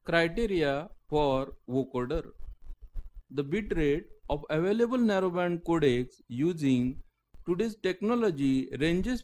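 A man reading a scripted technical lecture in halting phrases, often breaking mid-sentence. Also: a little high, a little mellow, poor audio. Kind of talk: scripted technical narration read aloud.

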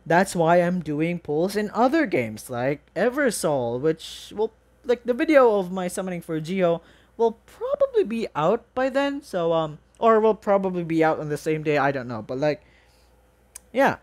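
A reaction video host chatting casually and excitedly in a rambling, exclaiming, informal way. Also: mid-range voice, moderate pace.

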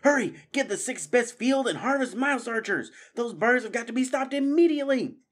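A man's voice: very high-pitched, animated, moderate pace, fairly bright tone, voice pushed up, heavily acted. A man voicing a brave young male mouse warrior in a storybook, urgent and commanding.

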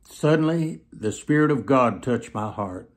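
A man in his seventies preaching through personal stories, speaking earnestly to camera with emphatic, drawn-out phrases. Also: medium pitch, animated. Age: 70s. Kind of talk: sermon storytelling told to camera. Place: small plain indoor room, seated.

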